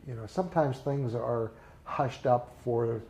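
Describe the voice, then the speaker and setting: An older man reminiscing in a casual interview, speaking haltingly with many fillers and restarts. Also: mid-range voice, mellow, slight background noise. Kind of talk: recalled personal memories in a casual interview.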